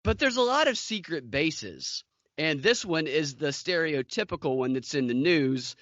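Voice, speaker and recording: A man's voice: a little high, animated, normal speed, a bit bright, no room sound, muffled recording. A man co-hosting a podcast, talking casually through the news. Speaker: podcast co-host.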